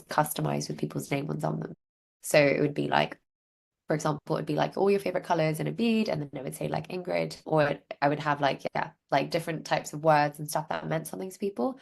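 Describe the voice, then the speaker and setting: A young woman from Hong Kong talks through her business story as a podcast guest. Her voice sits low with a gravelly edge. She rambles, restarts and leans on filler words. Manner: chatty, many "like" fillers, loose restarts. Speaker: guest, social enterprise founder. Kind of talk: casual interview storytelling.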